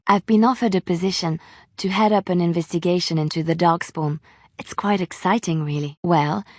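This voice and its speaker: Game role, played by a woman: young female adventurer of faith, gentle and playful, slightly low register, very dry recording. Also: no echo, natural voice. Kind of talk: video game voice acting, intimate dialogue.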